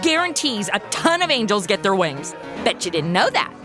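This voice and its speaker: A woman's voice: medium pitch, very animated, normal speed, over music, lightly acted. A woman playing a sassy, flippant young guardian angel in a comedy audio drama, talking in blunt, sarcastic modern slang. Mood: chatty.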